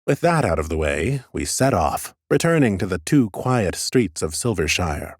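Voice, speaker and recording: A man reads scripted fantasy fiction as a first-person narrator. His voice is on the low side and recorded close and dry, with a measured storyteller's delivery. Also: very animated, slightly rough, no echo. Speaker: audiobook narrator.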